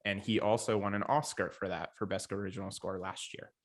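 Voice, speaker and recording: A man's voice: low voice, fairly even intonation, brisk. A young American man co-hosting a podcast in casual sports chat. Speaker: podcast co-host.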